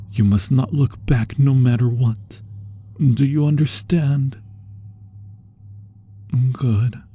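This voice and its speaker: Man acting a breathy, sorrowful undead vampire. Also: mid-range voice, exaggerated voice. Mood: urgent, sad. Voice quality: breathy, hushed, often falling into whispers. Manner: formal, pleading, melancholy phrasing. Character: tormented undead vampire, former lover, sorrowful and tender. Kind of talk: ASMR roleplay, dramatic monologue.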